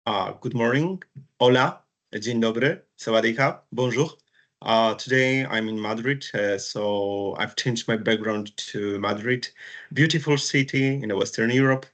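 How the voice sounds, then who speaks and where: A man, chatting casually on a video call as co-host, pausing often with fillers. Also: mid-range voice, fairly bright tone. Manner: hesitant, many fillers. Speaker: co-host, business and wellbeing strategist.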